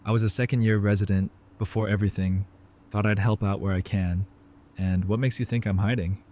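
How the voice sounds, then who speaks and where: Man voicing a subdued, guarded young ER doctor, lowish voice, fan audio drama. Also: steady tone, fairly quick, dark tone, natural voice. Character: Sadiq, young guilt-ridden ER resident. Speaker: former ER resident.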